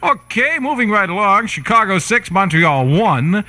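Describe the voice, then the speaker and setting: Bright, high-pitched male radio DJ. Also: sing-song, measured pace. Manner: breezy, wisecracking, slangy. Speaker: radio disc jockey, show host. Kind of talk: upbeat 1960s AM radio DJ patter. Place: radio studio. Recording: vintage radio aircheck with music.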